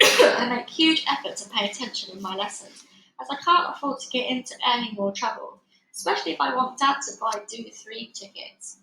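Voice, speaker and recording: Female children's book reader, bright and animated delivery, echoey room with faint noise. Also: on the high side, brisk. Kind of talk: animated read-aloud narration.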